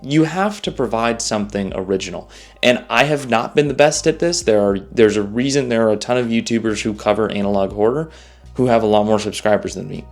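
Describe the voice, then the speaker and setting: A man giving informal, opinionated video commentary in a conversational way, repeating phrases to press his points. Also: mid-range voice. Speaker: YouTuber, analog horror commentator.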